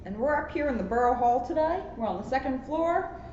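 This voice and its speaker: Female co-host, casual chat, highish voice, echoey indoor space, muffled noisy recording. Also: lively intonation, fairly quick, darkish tone. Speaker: police officer co-hosting a story reading. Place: indoors, second floor of a borough hall. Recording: roomy echo, dull lo-fi sound, clear noise.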